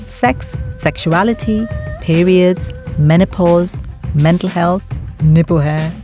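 A woman's voice: fairly low pitch, fairly even intonation, slow pace, mellow, faint music behind. A woman hosting a podcast, reading a scripted intro and then asking interview questions with enthusiasm. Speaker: podcast host.

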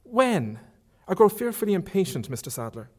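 A man's voice: high-pitched, very animated, normal speed, a little mellow, voice pushed up. A man reading aloud who voices a forthright, chatty young woman with a lifted, lilting tone and emphatic questions. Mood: brisk. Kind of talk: author reading a novel aloud.

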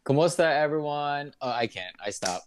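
A man's voice: on the high side, lively intonation, a little fast, slight background noise. A man from Kansas City, Kansas, chatting as a podcast guest in an easygoing, hesitant way. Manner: easygoing, hesitant, laughs often. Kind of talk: casual interview chat.

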